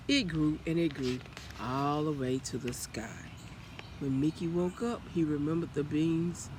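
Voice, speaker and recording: A man with a fairly high voice reads a children's story aloud. He takes it slowly and expressively, as a storyteller would, with a little noise in the background. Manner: expressive, with acted character lines.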